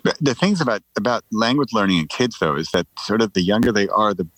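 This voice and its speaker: Middle aged guy chatting on a podcast, pretty deep voice, jokey storyteller, dry recording. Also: expressive, quick pace, a bit bright, dry recording, poor audio. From United States. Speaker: podcast guest, writer. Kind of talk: casual conversational storytelling.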